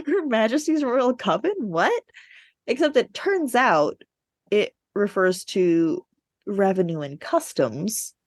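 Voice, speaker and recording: A woman chatting casually as a podcast co-host, telling things in an animated, digressive way. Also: medium pitch, takes it slowly, no room sound, clean studio sound. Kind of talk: casual book discussion chat.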